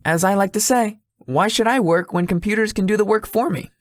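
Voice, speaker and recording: A woman's voice: mid-range voice, brisk, dry recording, studio quality, natural voice. A woman voicing a shy, polite young male tech executive in a visual novel, slightly formal and hesitant in manner. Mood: earnest, mild. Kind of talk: visual novel character dialogue.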